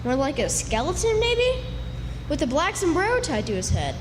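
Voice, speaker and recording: A woman's voice: sits high, wildly swooping pitch, normal speed. An American woman playing an eager, plucky Old West country boy in a radio drama, earnest and emphatic. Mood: curious, awed. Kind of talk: radio drama acting before an audience. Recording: echoey live hall, clear background noise.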